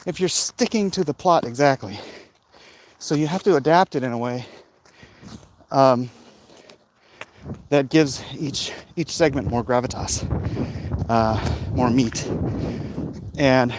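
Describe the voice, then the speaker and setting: A man talking casually and ramblingly with jokey asides, as a vlog host out on a walk. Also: mid-range voice. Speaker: vlog host, comic book creator. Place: outdoors on a street walk. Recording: outdoor street noise, passing vehicles.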